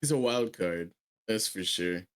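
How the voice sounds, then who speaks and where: A man's voice: on the low side, animated, unhurried, a bit bright, clean tone. A man co-commentating on a livestream in casual, slangy reaction chat with some swearing.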